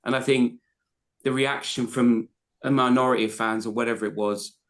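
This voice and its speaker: A man's voice: mid-range voice, fairly even intonation, normal speed. A man co-hosting a casual football podcast, talking passionately in long rambling runs full of restarts. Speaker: podcast co-host.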